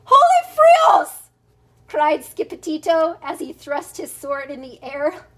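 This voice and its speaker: An older woman reads a children's story aloud in a high voice. She takes her time, narrating expressively and now and then stumbling or chuckling over the words. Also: measured pace, darkish tone, a little hiss or hum. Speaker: storybook reader.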